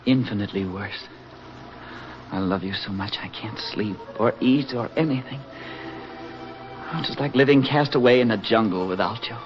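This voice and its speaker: An actor playing an affable, lovesick young American soldier in 1940s radio style, folksy and ardent. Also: male voice, fairly low pitch, normal speed, long pauses, lightly acted. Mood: wry, ardent. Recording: dull 1940s radio recording, music behind.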